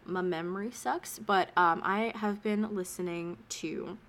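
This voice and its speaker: Bright-voiced chatty young woman. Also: lowish voice, measured pace. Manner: chatty, laughs often, sniffly from allergies. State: stuffy allergies. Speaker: podcast co-host. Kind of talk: casual podcast chat.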